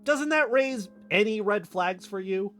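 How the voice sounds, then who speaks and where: Kyle, defensive, acted by a man, very animated pitch. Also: a little slow, faint music behind. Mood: insistent, protective. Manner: defensive, argumentative. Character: Kyle, defensive young man accused of betraying his friends. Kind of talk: naturalistic audio drama dialogue.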